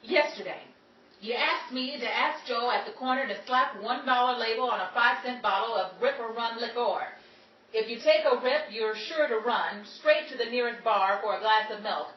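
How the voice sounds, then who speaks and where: A woman's voice: a little high, moderate pace, highs cut off, lightly acted. A woman playing a sassy, exasperated, streetwise young gal in a 1930s screwball comedy radio play, tossing off wisecracks in period slang. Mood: dry sarcasm. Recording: roomy, distant, noisy with crowd sounds.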